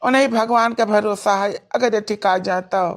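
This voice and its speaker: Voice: medium pitch, fairly even intonation, normal speed, lightly acted. An actor voicing a blunt, money-minded village doctor in a cartoon comedy, with an Awadhi accent and matter-of-fact delivery. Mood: grave.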